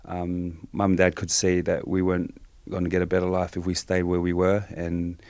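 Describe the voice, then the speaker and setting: A Samoan-born man, a Samoan speaker, answering interview questions softly and hesitantly with many fillers. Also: sits low, completely flat delivery, moderate pace, dry recording. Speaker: guest.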